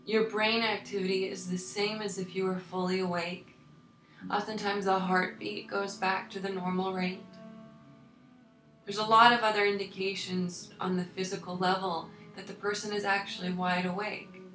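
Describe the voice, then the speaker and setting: A woman hypnotherapist giving a calm coaching talk about sleep, soothing and unhurried, her voice a little tired and deeper than usual. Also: echoey room, soft background music, rough recording. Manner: soothing, unhurried, repeats words for emphasis.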